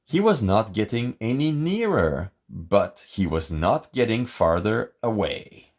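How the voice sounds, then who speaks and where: Male storybook reader, lower-pitched, dramatic and expressive, with sweeping intonation. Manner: theatrical, expressive, deliberate. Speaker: picture book reader. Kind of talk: dramatic scripted storybook narration.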